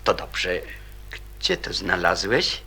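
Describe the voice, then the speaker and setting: An actor playing a courteous, perceptive man in a radio drama, husky and politely questioning. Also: low voice, heavily acted. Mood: grateful, tactful. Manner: polite, measured, gently questioning. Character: courteous, perceptive adult man, calmly probing and considerate. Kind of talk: Polish radio drama acting.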